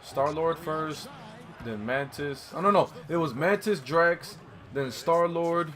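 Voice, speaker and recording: A man's voice: on the high side, slow pace, a bit bright. A man talking films casually with a friend, slangy and full of swearing. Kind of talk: casual excited movie discussion with a friend.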